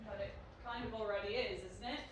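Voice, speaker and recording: A woman's voice: mid-range voice, steady tone, fairly quick, close to an everyday voice. A woman acting a sardonic, blunt character in an audio drama, telling stories and asking questions. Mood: uncertain, probing. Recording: roomy, distant, faint noise.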